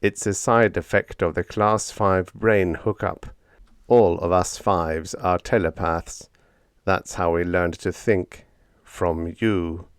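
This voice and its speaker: Man voicing a solemn, low-pitched, polite rebel robot. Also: fairly even intonation, clean tone, no room sound, clean studio sound. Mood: polite, complacent. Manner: solemn, polite, measured delivery. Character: eight-foot male android rebel, polite, solemn, smug. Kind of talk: audiobook character dialogue.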